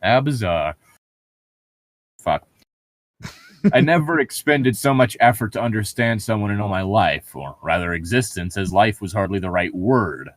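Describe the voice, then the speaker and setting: A man's voice: lowish voice, expressive, moderate pace, pauses often. A man reading a novel aloud and mocking it with crude, sarcastic, irreverent commentary. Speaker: co-host of a comedic read-along.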